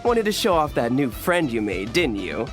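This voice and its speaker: A woman playing a welcoming, gently indulgent resort receptionist in an animated video game. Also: rumbling low, moderate pace, over music. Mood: gently amused.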